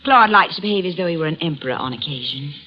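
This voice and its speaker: An actress playing a poised, coolly flirtatious wealthy wife in 1950s radio drama style. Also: female voice, low-pitched, lively intonation, fast talker, a little hiss or hum. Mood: explaining. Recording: dull old radio recording.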